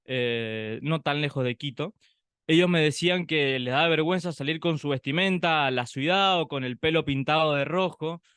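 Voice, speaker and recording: A man co-hosting a podcast, talking through his thoughts in a relaxed, reflective way, with frequent pauses and tag questions. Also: on the high side, clean tone, dry recording. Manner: reflective, thinks aloud with many "em" pauses and tag "¿no?". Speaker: podcast co-host. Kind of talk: conversational podcast chat.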